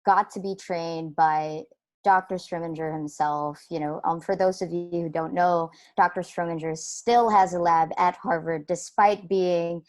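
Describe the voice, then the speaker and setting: A woman's voice: on the low side, steady tone, a little slow. A woman hosting a casual interview, chatty and admiring toward her guest. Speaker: interview host.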